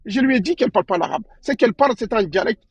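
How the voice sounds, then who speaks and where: A man in a live debate, speaking in a measured, repetitive and defensive way. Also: high-pitched, expressive, breathy edge, no room sound. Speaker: debate participant.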